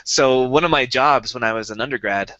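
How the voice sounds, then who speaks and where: Young male podcast co-host, eager rambling explainer, crisp bright tone, dry dull recording. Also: medium pitch, fairly quick, no room sound. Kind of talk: casual chat.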